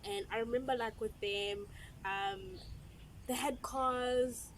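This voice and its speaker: A woman, chatting casually with friends, animated and laughing, with many fillers. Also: on the high side, slow, poor audio. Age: 20s. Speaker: guest. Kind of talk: casual chat among friends. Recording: background noise.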